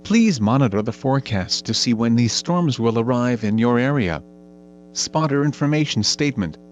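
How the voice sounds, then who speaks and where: A synthetic man's voice reads an automated weather bulletin as a radio announcer, in a formal, measured, impersonal way. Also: mid-range voice, expressive. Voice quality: synthetic text-to-speech voice. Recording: dull lo-fi radio sound with mains hum.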